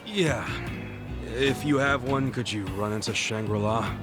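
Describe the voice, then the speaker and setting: An actor voicing a hot-headed but loyal young yakuza in a video game dub, with brash, slangy talk. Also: male voice, mid-range voice, measured pace, over loud music, natural voice. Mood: defensive. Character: hot-headed, loyal, big-hearted low-ranking young yakuza man.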